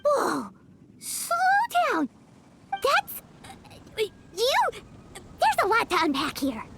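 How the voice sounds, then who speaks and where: A woman voicing a tiny childlike fairy companion in a video game, excitable, bossy, chattery and easily scared. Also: very high voice, takes it slowly, gravelly, noisy background, heavily acted. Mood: flustered. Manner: refers to herself in third person, chattery. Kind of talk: animated video game voice acting.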